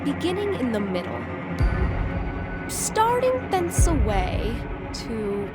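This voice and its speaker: A woman playing an armed prologue, a wry herald of war narrating Shakespearean verse in an audio drama in a measured, theatrical way. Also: fairly high pitch, very animated, loud music behind the voice. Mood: proud, inviting.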